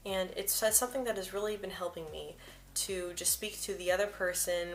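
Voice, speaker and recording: A woman's voice: on the low side, a little fast, poor audio. A young woman vlogger who stutters, often repeating words and first sounds as she talks to camera and shares personal tips.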